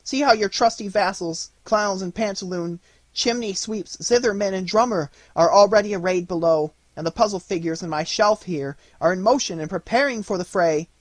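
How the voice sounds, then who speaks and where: A man's voice: sits high, moderate pace, dry recording, pitched above the performer's own voice. A man voicing an anxious, devoted lady doll in a storybook, with florid, pleading courtly speech.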